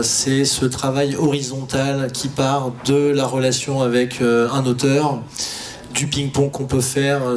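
A man's voice: medium pitch, a little hiss or hum. A man speaking as an audio director in an informal panel discussion, with an enthusiastic, emphatic manner.

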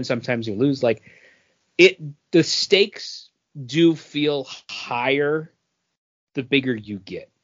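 A man's voice: medium pitch, fairly bright tone, lo-fi sound. A man co-hosting a podcast, eagerly explaining how a mobile game works in casual chat. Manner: explains eagerly, talks over others. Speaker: podcast co-host.

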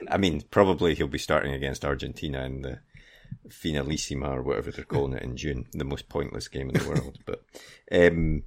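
Very deep, creaky-voiced man. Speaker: football podcast host. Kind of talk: casual football discussion.

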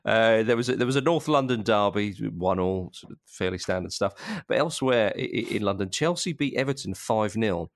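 A man with a lowish voice presents a football podcast in casual conversation. He tells stories with jokey animation, recorded close and dry. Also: expressive, brisk, few pauses, no echo. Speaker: podcast host.

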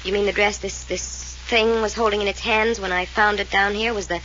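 An actress playing a defiant young woman of a wealthy family in a 1940s radio drama, sharp, defensive and sardonic. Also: medium pitch, steady tone, brisk, natural voice. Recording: dull old radio recording with faint hiss.

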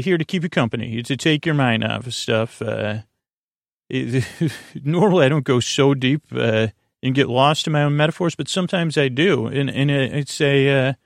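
Creaky-voiced man, rambling bedtime podcast host. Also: mid-range voice, dry recording, clean studio sound. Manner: meandering, halting, stammering, trails off into tangents.

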